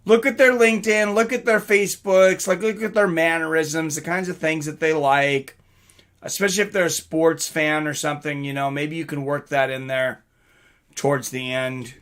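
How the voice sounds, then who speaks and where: Male fight-stream host, middle-aged, slightly high pitch, very bright forceful tone, casual rambling chat with viewers. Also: fairly even intonation, normal speed. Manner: rambling, reading out and answering chat comments. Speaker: livestream host and fight commentator.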